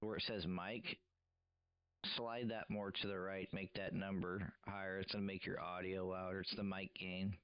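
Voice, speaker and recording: Deep-voiced, monotone man. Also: moderate pace, muffled recording, slight background noise. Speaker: radio operator helping a friend, live stream host. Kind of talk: casual technical troubleshooting talk.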